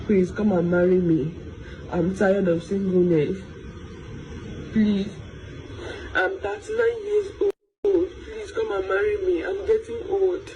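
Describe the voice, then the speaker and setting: A woman's voice: mid-range voice, animated, takes it slowly, pauses often. A woman, begging over and over in a pleading tone. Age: 30s. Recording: noisy clip.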